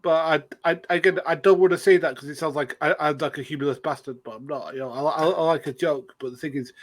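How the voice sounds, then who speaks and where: A man with a moderately high voice talks in a casual panel debate. He speaks haltingly, doubling back on his words and carefully qualifying each opinion. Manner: halting, repeats himself, hedging his opinions. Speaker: discussion panelist.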